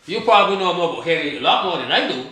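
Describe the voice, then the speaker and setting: A man's voice: high-pitched, lively intonation, racing, crowd noise. An older man from Barbados, a guest author, talking in a rambling, emphatic way that repeats points and trails off. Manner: emphatic, repeats himself, trails off mid-thought. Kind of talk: rambling conversational storytelling. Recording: very echoey room.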